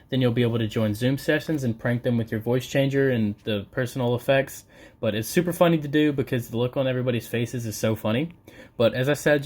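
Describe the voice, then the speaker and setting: A young man narrating a casual step-by-step tutorial, speaking informally and directly to his viewers. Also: medium pitch. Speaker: video creator giving a tutorial.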